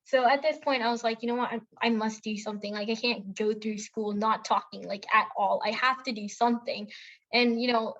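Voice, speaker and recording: Young woman co-host from India, bright higher voice, rambling casual storyteller, lo-fi recording. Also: steady tone, a little fast. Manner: chatty storytelling with many fillers and self-corrections. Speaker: podcast co-host. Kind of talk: casual chat.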